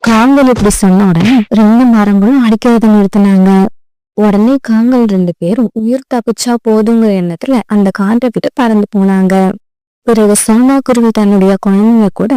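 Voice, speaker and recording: A woman's voice: medium pitch, normal speed, warm tone, smooth, no room sound, poor audio. A woman narrating a scripted children's story.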